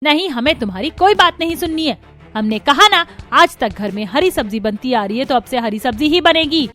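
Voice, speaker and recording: A strict mother-in-law from a cartoon family drama, voiced by a woman, with a fairly high voice. She scolds in a firm, bossy way over faint music. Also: animated, fairly quick, bright, forceful tone. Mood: scolding. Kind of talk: cartoon voice acting.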